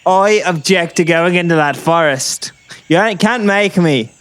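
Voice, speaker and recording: Man voicing a slow, pompous, cowardly talking dog. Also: high voice, a bit bright. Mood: frightened, defiant. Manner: pompous, theatrical protesting. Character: fearful, dramatic talking male dog, easily bribed. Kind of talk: comedic audio drama acting.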